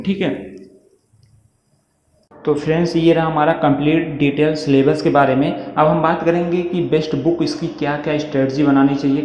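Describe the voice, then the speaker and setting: A man teaches in an informal, reassuring way, checking in often. The sound is very echoey and a little dull, with faint noise behind him. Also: fairly high pitch. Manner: reassuring, repetitive check-in phrases. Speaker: exam coaching YouTuber. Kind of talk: informal explanatory lesson, reading through a syllabus. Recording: echoey reverberant room, muffled, faint noise.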